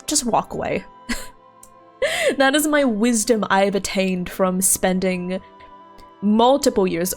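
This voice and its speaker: Chatty giggly woman streaming a game. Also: mid-range voice. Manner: chatty, laughs often, thinks aloud. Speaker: livestreamer. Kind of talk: casual chat with stream viewers while gaming. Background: faint game music.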